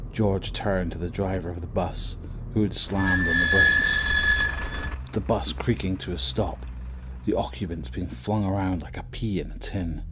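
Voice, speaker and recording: A man narrating a horror audio drama from a script in a measured, suspenseful, dramatic way. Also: low voice, noisy background. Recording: voice over prominent music.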